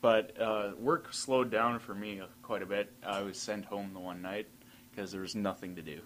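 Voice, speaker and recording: A man's voice: lowish voice, steady tone, normal speed. A laid-back man chatting on a casual podcast about his work week. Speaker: podcast co-host. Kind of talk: casual chat.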